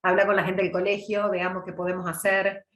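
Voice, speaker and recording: A woman's voice: medium pitch, little pitch movement, a little fast, echoey room. A middle-aged woman from Córdoba with a mild Argentine accent, teaching a public speaking class over a video call in a chatty, conversational way. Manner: chatty, warm, answers chat questions by name. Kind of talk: online class, casual lecture.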